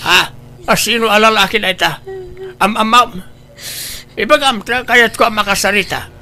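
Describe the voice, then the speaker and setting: A man's voice: sits high, moderate pace, bright, forceful tone, a little hiss or hum. A man with an Ilocano Filipino accent playing an exasperated, scolding old father in a comedy drama. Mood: scolding, alarmed.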